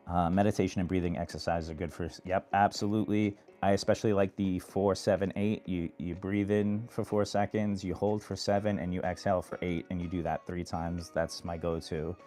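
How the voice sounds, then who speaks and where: A man from New York hosting a late-night phone livestream, giving earnest advice to viewers in a monotone way. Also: low-pitched. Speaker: livestream host. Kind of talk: casual live chat answering viewers.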